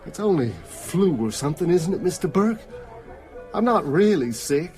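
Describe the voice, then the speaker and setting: An actor playing a sick, frightened small-town working man in a radio drama, speaking in a weary, halting, dazed way. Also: sits high, lively intonation, a little mellow, faint music behind. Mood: scared.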